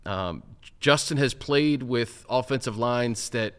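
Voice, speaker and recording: A man's voice: mid-range voice, slow pace, smooth. A man hosting a team sports podcast, speaking conversationally and thinking aloud with many fillers. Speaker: podcast host. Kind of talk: casual sports talk.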